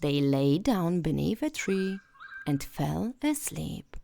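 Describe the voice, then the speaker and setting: A woman narrating a bedtime fairy tale as a storyteller, in a gentle, soothing and expressive way. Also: low-pitched, slow pace, gravelly, slight background noise.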